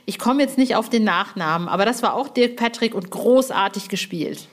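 A woman's voice: on the high side, moderate pace. A woman hosting a podcast interview in casual, effusive fan chat, with colloquial slang and the odd swearword.

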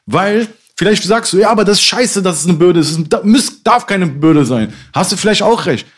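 A man's voice: sits high, animated, normal speed. A man in his thirties, a rapper, talking through a topic in a hesitant, reflective way with many restarts. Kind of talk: casual conversation.

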